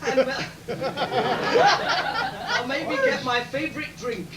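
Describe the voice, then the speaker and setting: A man's voice: very high-pitched, big pitch swings, drawn-out words, full character voice. A man playing a cheeky, bawdy, mocking heroine in an amateur pantomime, delivering comic rhymes loosely. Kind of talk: rhyming amateur pantomime on stage. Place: theatre bar performance, far camera. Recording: distant, echoey, very noisy theatre bar recording.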